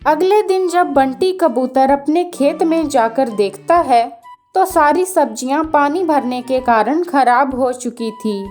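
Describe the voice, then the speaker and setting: A woman's voice: sits high, takes it slowly, a little mellow, soft background music. A woman narrating a scripted children's story.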